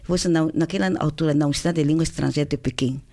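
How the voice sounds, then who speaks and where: A woman's voice: deep voice, steady tone, very fast, mellow. An older woman from China with a Chinese accent, answering interview questions in a casual, hesitant way with many fillers and restarts. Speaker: guest author.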